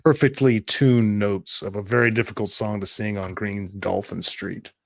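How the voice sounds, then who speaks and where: A man serving as game master, narrating tabletop roleplay scenes in a deliberate, evocative way. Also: lowish voice, steady tone, gravelly, dry recording.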